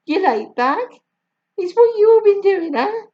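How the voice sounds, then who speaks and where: A woman voicing a loud, laughing middle-aged Jamaican mother with a booming, sing-song manner. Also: sing-song, unhurried, muffled recording, full character voice. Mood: boisterous. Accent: Jamaican (put on).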